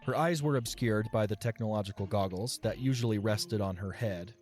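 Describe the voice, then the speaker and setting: Low-voiced male story narrator. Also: a little fast, a little mellow, soft background music.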